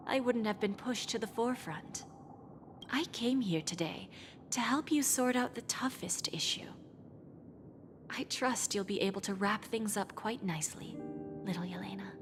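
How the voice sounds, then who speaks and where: An actress voicing a suave, scheming woman pawnbroker, breathy and half-whispered, smooth and teasing, in video game voice acting. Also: mid-range voice, a little slow, noisy background, close to an everyday voice. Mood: sly. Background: game music.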